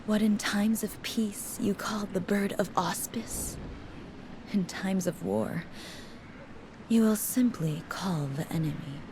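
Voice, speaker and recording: A woman's voice: medium pitch, background noise, natural voice. An actress playing a composed, stern, philosophical female merchant in a fantasy game, speaking formally. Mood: stern, grave. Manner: formal, measured, sententious. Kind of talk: video game cutscene acting.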